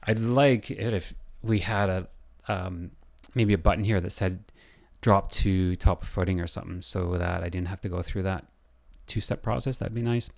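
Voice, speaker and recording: Deep gravelly guy going hoarse, walks through a design software tutorial, lots of ums. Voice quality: scratchy. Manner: thinking aloud, halting with fillers. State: hoarse, losing his voice. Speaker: building designer.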